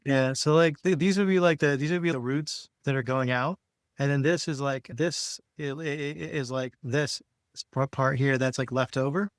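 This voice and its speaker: A man with a mid-pitched voice talks informally, explaining as he goes. He thinks aloud in a halting, conversational way, and the recording is dry with little room sound. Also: fairly even intonation, dry recording. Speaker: podcast co-host. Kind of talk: casual explanatory talk.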